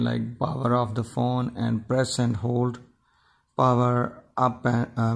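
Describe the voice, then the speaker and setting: A man giving an informal step-by-step tech tutorial, explaining in a halting, repetitive way. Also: medium pitch, gravelly, darkish tone. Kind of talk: informal step-by-step how-to narration.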